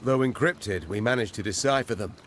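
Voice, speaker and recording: An actor playing an earnest, loyal knightly officer in a video game, speaking formally and with deference. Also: male voice, medium pitch, brisk, a bit bright, a little hiss or hum, natural voice. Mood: grave, reporting. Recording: music behind the voice.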